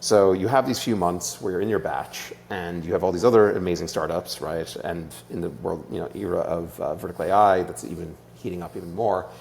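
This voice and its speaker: Irish male founder as interview guest, very low gravelly voice, casual explainer, faint hum. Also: a little fast, few pauses, darkish tone. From Ireland.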